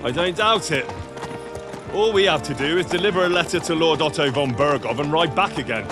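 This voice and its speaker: An actor playing a dutiful, sensible young commoner bodyguard, sarcastic and down-to-earth, in a video game cutscene. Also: male voice, on the high side, a little fast, music in the background, close to an everyday voice. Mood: dry, unimpressed.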